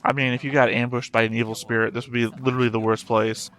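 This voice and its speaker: A man casually commenting on an animated show as he watches, with dry, nitpicking asides. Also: mid-range voice, fast, soft background music. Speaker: reaction show host. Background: soundtrack of the show being watched.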